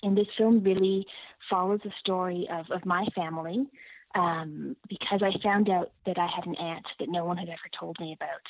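A Canadian woman, a filmmaker guest, answering interview questions in a measured, reflective way. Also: fairly low pitch, no room sound. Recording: tinny phone-like line.

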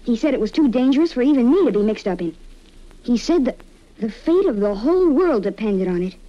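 A woman's voice: a little high, sing-song, fairly quick. A woman playing an anxious, earnest young boy sidekick in an old-time radio serial. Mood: earnest, worried. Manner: earnest, urgent. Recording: dull old radio recording.

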